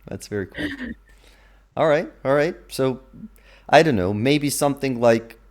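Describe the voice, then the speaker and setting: Slow-talking Serbian-native man. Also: medium pitch, animated. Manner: unhurried, thinking aloud with fillers. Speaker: language learner. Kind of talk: conversational language lesson.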